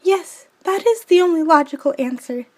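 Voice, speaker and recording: A woman voicing a lovestruck, excitable young female fish in a storybook, gushing and very high-pitched. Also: normal speed. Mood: aghast. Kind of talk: storybook character voice.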